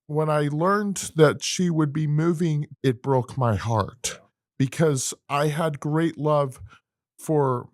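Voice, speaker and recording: A man co-hosting a podcast in casual chat, earnest and halting, often repeating words and starting over. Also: medium pitch, no room sound, studio quality. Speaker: podcast co-host.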